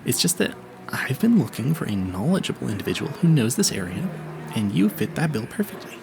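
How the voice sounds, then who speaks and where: Man playing a flustered, animated young vampire hunter. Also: mid-range voice, brisk, vocal fry, over music. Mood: nervously earnest. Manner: nervous, flustered, stammering, over-apologetic, polite. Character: awkward, smitten, clueless young male vampire hunter. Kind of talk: romantic audio roleplay addressed to the listener.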